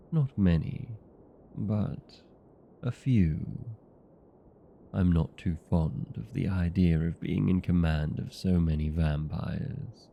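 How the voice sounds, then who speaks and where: Man playing a deep, gravelly, genteel old vampire. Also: dull audio, a little hiss or hum, exaggerated voice. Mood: reflective, fond. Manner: genteel, formal, unhurried. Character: courteous, proud old male vampire being interviewed. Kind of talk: scripted comedic audio roleplay.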